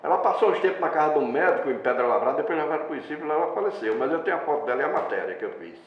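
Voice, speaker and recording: A man's voice: on the high side, racing, echoey room, highs cut off, a little hiss or hum. An older man, a veteran reporter telling anecdotes in an interview and acting out the people he quotes. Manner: lively anecdotal storyteller, acts out quoted voices. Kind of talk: storytelling in a casual interview.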